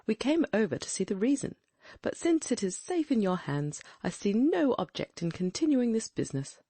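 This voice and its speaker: A woman voicing a keen, commanding male detective with a brisk, inquisitive, exclamatory manner in an audiobook. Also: a little high, big pitch swings, mellow, husky, no echo, exaggerated voice.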